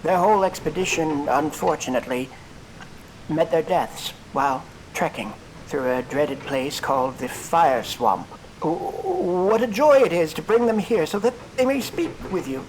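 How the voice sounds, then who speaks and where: A man's voice: on the high side, expressive, a little slow, slight background noise, poor audio. A man playing a grandiose traveler who orates florid, theatrical tales to a crowd in an audio drama. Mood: grand, proclaiming. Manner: florid, theatrical, list-like oration. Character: grandiose male traveler orating marvels to crowd. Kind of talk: audio fiction acting.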